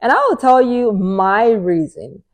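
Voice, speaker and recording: A Nigerian woman in her thirties co-hosts a relaxed podcast chat. She speaks earnestly, advising her listeners and often echoing or finishing her partner's phrases. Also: mid-range voice, unhurried. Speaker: podcast co-host. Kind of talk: casual chat.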